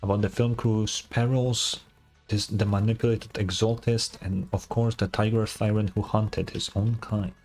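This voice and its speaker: A man's voice: lowish voice, little pitch movement, normal speed, a little mellow, faint music behind. A man streaming a game, casually reacting and reading on-screen text aloud in an offhand, unimpressed way.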